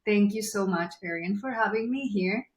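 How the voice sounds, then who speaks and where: A woman's voice: medium pitch, normal speed, echoey room. A woman from Venezuela with a Venezuelan Spanish accent, a native Spanish speaker, talking earnestly as a podcast guest and often searching for her words. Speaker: guest, journalist. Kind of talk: casual podcast interview.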